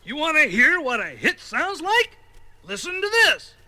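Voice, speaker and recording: A man's voice: very high voice, huge pitch swings, measured pace, pushed and bright, exaggerated voice. A man voicing a bossy, blustering record company boss in a domineering way on a children's story record. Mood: scornful. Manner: bellowing, gruffly domineering. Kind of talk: children's read-along record acting.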